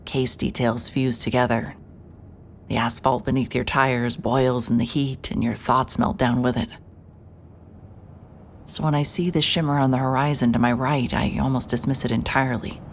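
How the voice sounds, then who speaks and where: A woman playing a hard-boiled, very low-voiced PI. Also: fairly even intonation, moderate pace, slight background noise. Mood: guarded. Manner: dry, hard-boiled inner monologue. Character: hard-hearted private investigator, dry and guarded. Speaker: private investigator narrator. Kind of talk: noir first-person narration.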